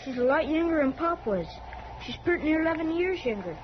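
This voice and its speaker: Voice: high-pitched, expressive, brisk. A performer playing an earnest eight-year-old farm boy with folksy, rustic country talk, in 1950s radio western style. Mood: earnest, troubled. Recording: muffled, faintly noisy vintage radio recording.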